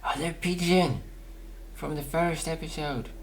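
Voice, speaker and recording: A man's voice: fairly high pitch, unhurried, a little hiss or hum. A man hosting a casual gaming playthrough, musing aloud and often repeating phrases. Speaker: gaming video host.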